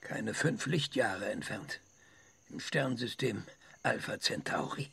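An actor playing an embittered, impatient middle-aged visionary lecturing a sceptic in a radio drama, husky and sarcastic. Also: male voice, on the low side, animated, slow, a bit bright, dry recording, exaggerated voice. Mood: impatient conviction. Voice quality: gravelly, husky.

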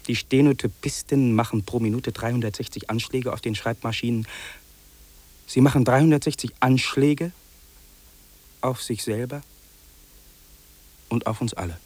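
An actor playing a lonely, fearful city man in a 1950s German radio drama, speaking in solemn, deliberate, incantatory phrases. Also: medium pitch, steady tone, darkish tone, close to an everyday voice. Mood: solemn, insistent. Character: lonely, fearful, brooding city man listing what he hears. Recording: old radio play on cassette tape.

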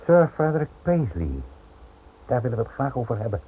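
A man acting a smooth, menacing Italian financier in a radio play, polite, insinuating and pressing. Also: medium pitch, sing-song, brisk. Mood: smooth pressure. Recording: tinny, noisy old radio play recording.

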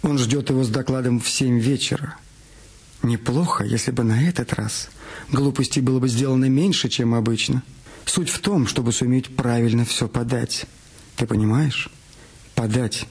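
A man's voice: mid-range voice, moderate pace, a little mellow, husky. A man reading a detective story as the narrator of a scripted radio production. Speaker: narrator of an audio drama. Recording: music under the voice. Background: background music.

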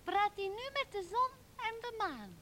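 Very high childlike voice. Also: female voice, sing-song, normal speed, fairly bright tone, a little hiss or hum, full character voice. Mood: dreamy, curious. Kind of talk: radio drama acting.

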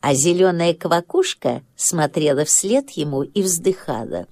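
A woman narrating a children's story as an expressive storyteller, with deliberate pauses. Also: low voice. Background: music.

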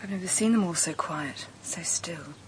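A woman's voice: on the low side, normal speed, close to an everyday voice. An actress as an earnest young woman, slightly noisy recording. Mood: intimate, wary. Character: intense, determined young woman in love, from a grieving family. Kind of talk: radio drama acting.

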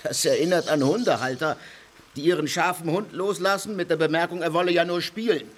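A man's voice: a little high, a little fast, a little hiss or hum, lightly acted. An actor playing a pompous male political theorist, who lectures a circle of dignitaries in a declamatory way. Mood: lecturing. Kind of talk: radio play acting.

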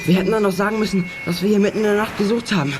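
Brisk boy detective played by a young actor, very fast, decisive planner, children's radio play, music behind. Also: mid-range voice, fairly even intonation, close to an everyday voice. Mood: planning, matter-of-fact. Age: teenager or younger. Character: resolute boy detective, level-headed planner of a kids' gang. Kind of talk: 1980s German children's audio drama acting.

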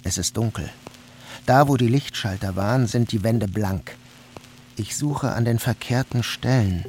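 A man's voice: lowish voice, measured pace, a little mellow, a little hiss or hum. Man reading a dreamlike novel. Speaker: audiobook reader. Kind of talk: literary first-person narration.

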